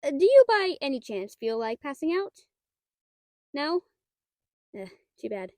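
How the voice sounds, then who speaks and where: Woman voicing a nerdy half-dragon librarian, female, very animated, close dry sound, stammering, prim about rules, audio roleplay. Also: high voice, measured pace, no room sound, heavily acted. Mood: awkward, scheming. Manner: stammering, flustered, rambling with dry wit. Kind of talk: scripted audio roleplay addressed to the listener.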